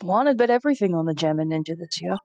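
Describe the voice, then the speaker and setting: A woman's voice: lowish voice, expressive, rapid-fire, a little mellow, lo-fi sound, a little hiss or hum. A woman voicing a cool adult female witness in a video game dub. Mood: knowing, cool.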